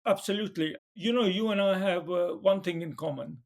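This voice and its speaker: A man with a high-pitched voice hosts a podcast interview. He asks his questions gently and pauses often on small hesitations. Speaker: podcast host.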